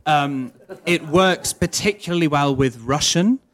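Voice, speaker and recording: A man, an opera singer answering audience questions in a thoughtful, measured way with frequent fillers. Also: on the high side. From Wales.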